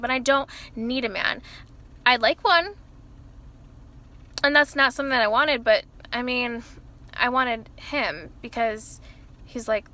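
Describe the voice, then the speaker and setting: A woman in her early twenties with a loud voice, talking casually to camera from inside her car and rambling with lots of fillers and restarts. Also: on the high side. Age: early 20s. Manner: rambling, self-interrupting, many fillers like "like" and "you know". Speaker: vlogger. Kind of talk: casual confessional chat to camera.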